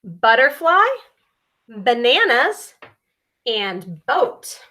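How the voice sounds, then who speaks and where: Bright, high-pitched woman reading children's stories. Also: very animated. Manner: dramatic, deliberate enunciation with emphasized sound words. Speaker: storyteller reading a picture book to children. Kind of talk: expressive children's story reading.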